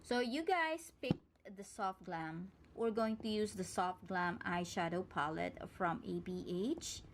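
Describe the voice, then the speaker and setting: A woman's voice: on the low side, measured pace, noisy background. A woman from the Philippines with a Filipino accent, whose first language is Tagalog, chatting through a makeup tutorial as a beauty vlogger and talking directly to her viewers.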